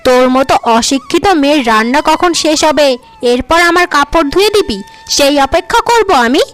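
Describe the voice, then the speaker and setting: A woman voicing a vain, bossy young wife in a cartoon, sneering and shrill. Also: animated, brisk, faint music behind. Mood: haughty.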